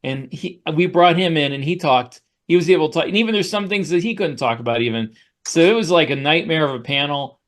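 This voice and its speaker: Higher-voiced man telling casual, rambling stories. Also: expressive, a little fast. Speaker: podcast co-host, author. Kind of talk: casual anecdotal chat.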